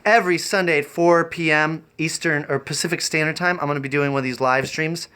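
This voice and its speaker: A man chatting off the cuff on a livestream, rambling and halting in an eccentric way. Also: fairly high pitch, fairly even intonation, sharp tone, clean tone. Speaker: live streamer and variety performer. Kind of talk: improvised livestream chat.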